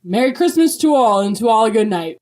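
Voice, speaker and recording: Woman, podcast co-host, mid-pitched voice. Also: expressive, brisk, a little mellow. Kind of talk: friendly podcast chat and expressive poem reading.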